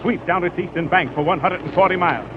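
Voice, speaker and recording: A man's voice: high-pitched, fast talker, noisy background. A man narrating an old-time radio drama introduction in a grandiose, dramatic declamation. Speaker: radio announcer. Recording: dull old radio recording, loud background music.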